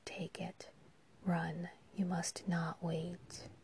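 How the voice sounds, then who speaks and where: A woman's voice: fairly low pitch, little pitch movement, drawn-out words, darkish tone, slight background noise. A woman voicing a devoted young peasant woman, speaking in urgent, pleading whispers.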